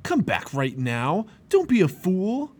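A gruff, stubborn boy from a storybook, put on by a male narrator. He is bossy and dismissive, throwing out his scorn with lively swings of pitch. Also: slow, full character voice. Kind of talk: children's storybook character voice.

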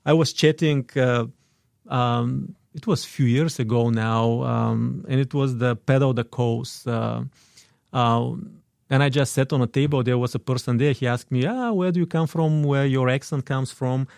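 A man's voice: medium pitch, fairly even intonation, moderate pace, vocal fry, a little mellow, dry recording, clean studio sound. A middle-aged man from Bulgaria with a Bulgarian accent, a guest in a radio interview, hesitant and full of fillers and restarts.

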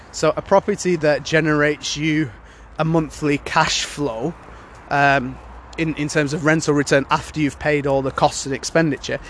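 Man, blocked-up cold voice, rambling podcast chat, fairly high pitch, light street noise. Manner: rambling, many fillers and restarts. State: congested from a cold. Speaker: podcast host. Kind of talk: casual solo podcast talk.

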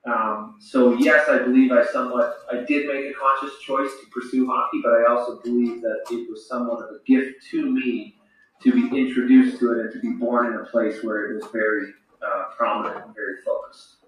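A middle-aged man from Saskatchewan, a retired hockey player slowly answering children's questions with a reflective manner and restarts. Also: medium pitch, fairly even intonation. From Saskatchewan, Canada. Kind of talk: thoughtful Q&A with children. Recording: roomy, echoey sound from far off.

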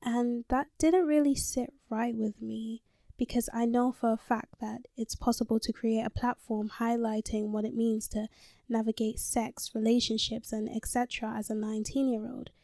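A woman's voice: a little high, vocal fry, mellow, no echo, studio quality. A nineteen-year-old woman from London with a slight American tone, chatting candidly and swearing freely on her solo podcast, recorded in a small closet on a handheld mic. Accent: London with some American tone. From London, England. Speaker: podcast host. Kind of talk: casual solo podcast monologue.

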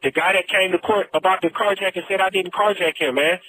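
Forceful, pleading American man on phone. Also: high voice, steady tone, fairly quick, a little hiss or hum. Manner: pleading, emphatic, repetitive. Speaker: prisoner telling his story. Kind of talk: impassioned personal plea over the phone. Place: prison phone call. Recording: prison phone line, music underneath. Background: music.

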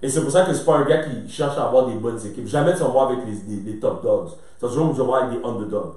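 A man, a basketball coach telling his story in a casual chat, talking in animated, rambling bursts and often checking that he is understood. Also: mid-range voice, fast talker. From Quebec, Canada. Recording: very echoey room, distant mic.

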